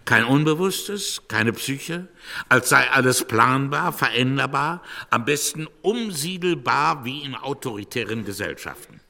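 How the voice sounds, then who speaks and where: An actor playing an emphatic orator, declaiming insistently in a radio drama monologue. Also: male voice, mid-range voice, pushed and bright, full character voice. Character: emphatic male orator delivering a political essay. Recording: long hall reverb.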